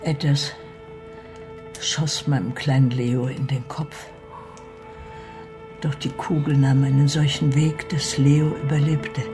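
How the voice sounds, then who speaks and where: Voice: medium pitch, level tone, lightly acted. Actor as a sad devout wanderer, radio drama, solemn careful telling, music behind. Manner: solemn, measured storytelling. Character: sorrowful, devout adult searching for lost family. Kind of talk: German radio play acting. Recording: music bed under the voice.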